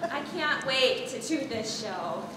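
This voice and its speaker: Girl playing very slow, wisecracking theater teen. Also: high-pitched, expressive. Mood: teasing. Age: teens. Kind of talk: high school stage comedy acting. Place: large theater stage, filmed from the audience. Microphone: far camera. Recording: very noisy, distant echoey auditorium.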